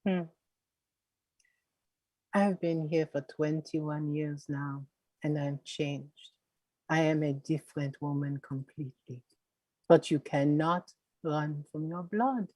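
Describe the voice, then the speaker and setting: An actress playing Marie, a confident middle-aged woman mentor from Haiti, who speaks in an unhurried way and ends her lines with coaxing tag questions. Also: deep voice, steady tone, dark tone, no room sound, low-quality recording. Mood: earnest. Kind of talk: live-streamed stage play reading. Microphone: video call.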